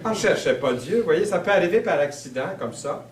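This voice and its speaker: A man's voice: fairly high pitch, lively intonation. A man from Quebec with a Québécois French accent, preaching a sermon in a conversational, storytelling way. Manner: conversational, storytelling, with fillers and slow emphasis. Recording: roomy sound, mic at a distance.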